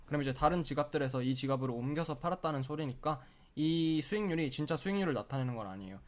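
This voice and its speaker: A man with a cold and a sore throat who clears his throat often, talking through a screen-share tutorial in a chatty, explanatory way with lots of filler words. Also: fairly high pitch, animated, quick pace. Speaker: crypto trading tutorial host.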